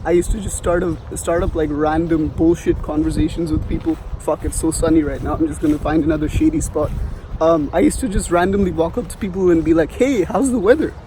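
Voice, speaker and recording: A man's voice: fairly high pitch, steady tone, fairly quick, dark tone, a little hiss or hum, low-quality recording. Rambling young man from India, outdoors. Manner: rambling, many fillers and restarts, swears casually. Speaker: vlogger. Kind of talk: casual advice vlog. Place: outdoors on a sunny street.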